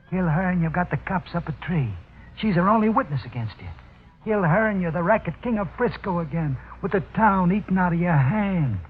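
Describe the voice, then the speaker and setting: An actor playing a sly criminal henchman who goads his boss, in 1940s radio mystery style. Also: high voice. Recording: tinny, thin 1940s radio, faint music.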